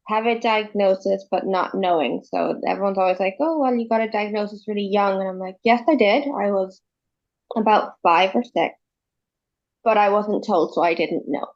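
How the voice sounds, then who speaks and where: A young woman with an Irish accent talks in a loose, conversational way, with plenty of ums. Her voice sits at middle pitch and comes through slightly dull remote-call audio. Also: moderate pace, darkish tone. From Ireland. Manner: conversational, with many ums and you knows. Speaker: guest. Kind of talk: casual interview talk. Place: home in Canada, remote call.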